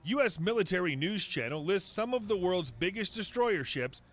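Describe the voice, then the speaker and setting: A man narrating a scripted documentary countdown in an even, flat read. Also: high-pitched, moderate pace, faint music behind. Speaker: video narrator.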